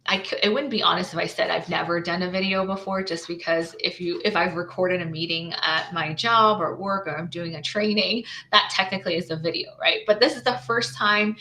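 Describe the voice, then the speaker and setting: Lower-pitched woman with a bright edge. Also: a little fast, few pauses, slight background noise. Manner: thinks aloud, adds "right?" tags and restarts. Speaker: small business founder. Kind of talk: casual screen-share walkthrough.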